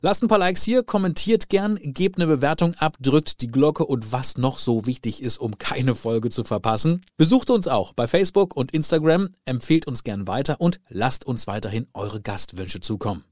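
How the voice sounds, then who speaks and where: A man with a medium-pitched voice works as a podcast host. He talks conversationally and effusively, often doubling words for emphasis, as if face to face with a guest in a studio. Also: animated, normal speed, few pauses, a little mellow. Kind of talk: warm-toned conversational interview and sign-off. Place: indoor studio, in person with guest.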